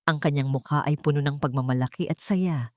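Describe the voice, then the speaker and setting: Low-voiced woman, dry dramatic story narration. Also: a little mellow, no room sound. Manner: earnest, measured, emotive delivery. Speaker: audiobook narrator.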